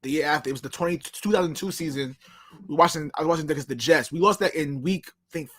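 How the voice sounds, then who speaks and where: A man's voice: a little high, fast, fairly bright tone. A man in his late twenties telling sports-fan stories in casual chat, earnest and repetitive. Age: 20s. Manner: earnest, repeats himself. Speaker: podcast co-host.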